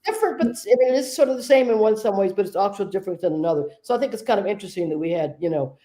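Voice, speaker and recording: A woman hosting a conversation, a medium telling stories in a relaxed, discursive way. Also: mid-range voice, very fast. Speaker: host and trance medium. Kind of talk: casual conversation.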